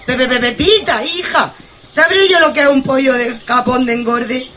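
Woman acting a high, bright gossipy hen. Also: normal speed. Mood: indignant, admiring. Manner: gossipy, exclamatory, colloquial. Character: gossipy excitable Murcian farmyard hen, female. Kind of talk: comic radio theatre acting.

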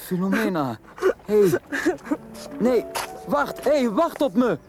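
An actor playing a shy, earnest English aristocrat of very small stature in a radio drama, speaking in a hesitant, courteous, tender way. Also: male voice, high-pitched, sing-song, takes it slowly, heavily acted. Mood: urgent pleading. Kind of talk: Dutch-language radio drama acting. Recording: radio play with music behind.

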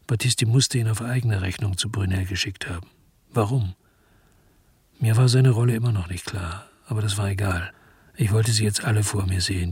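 An actor playing a bitter, brooding investigator who narrates a radio crime drama in a grim, terse, reflective way. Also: male voice, on the low side, a little mellow, slightly rough, no room sound. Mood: resolute. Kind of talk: scripted first-person radio drama narration.

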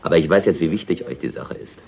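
Gravelly, deep, mocking crook. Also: male voice, fast talker, dark tone. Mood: brazen, bargaining. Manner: mocking, slyly amused. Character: brazen, shrewd male crook haggling over a shady deal. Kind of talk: 1960s German radio play acting.

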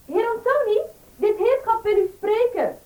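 An actress playing an eager, nosy young housemaid in a Dutch radio play, brisk and cheeky. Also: female voice, very high voice, muffled recording, a little hiss or hum. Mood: eager, conspiratorial. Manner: brisk, animated.

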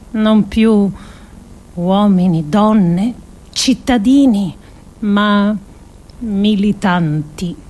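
An actress performing a theatrical monologue as a grave, idealistic political thinker, with deliberate, emphatic phrasing and dramatic pauses. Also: female voice, medium pitch, warm tone. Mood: grave, urgent. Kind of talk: theatrical scripted monologue adapted from philosophical essays.

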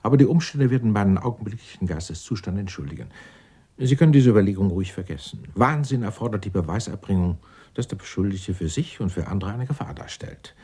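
A pompous, excitable clerk in his sixties, swooping voice. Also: fairly low pitch, quick pace, slightly rough. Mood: pompous, defensive. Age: 60s. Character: eccentric, indignant 64-year-old Dutch tax-law clerk, self-righteous. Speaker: murder suspect being questioned. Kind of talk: theatrical radio drama acting.

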